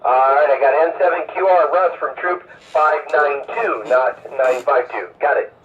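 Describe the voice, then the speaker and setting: A man hosting an amateur radio net, giving informal announcements in a halting, hesitant way over a radio transmission. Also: on the high side, fairly bright tone, far from the mic. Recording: narrow phone-like radio sound, off-mic.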